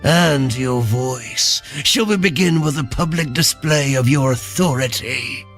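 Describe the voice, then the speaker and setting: A man playing a servile, sly royal advisor with a halting, insinuating manner in a dark fantasy audio drama. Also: mid-range voice, lightly acted. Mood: sly, coaxing. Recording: music behind.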